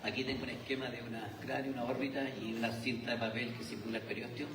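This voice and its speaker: Chilean surgeon in an echoey hall. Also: male voice, medium pitch, flat delivery, fast, distant mic, noisy background. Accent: Chilean Spanish. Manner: hesitant, many filler sounds and restarts. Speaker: surgeon presenting at a conference. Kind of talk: conference talk. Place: large lecture hall.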